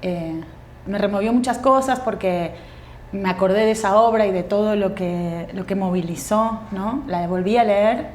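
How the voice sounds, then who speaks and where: A woman in her forties with a Uruguayan Spanish accent, a guest actress speaking thoughtfully in an interview, pausing often with fillers and restarts. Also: medium pitch, roomy sound, a little hiss or hum. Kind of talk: reflective interview conversation.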